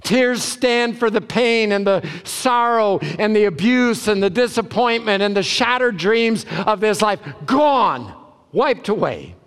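A man preaching a sermon as a pastor, emphatic, with deliberate pauses and repeated short phrases, in a large hall. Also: high-pitched, expressive, a bit bright, clean tone.

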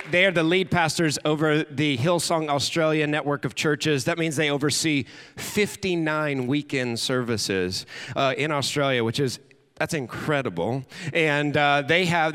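A man's voice: a little high, fairly bright tone, clean tone. A man hosting at a church, giving an earnest introduction of the guest pastor with a few fillers. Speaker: church host introducing the speaker. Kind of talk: stage introduction.

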